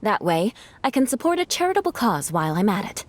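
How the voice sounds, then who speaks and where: A woman's voice: medium pitch, very animated, fast, noisy background, natural voice. A woman playing a proud, reserved young knight captain in a video game, composed, formal and matter-of-fact.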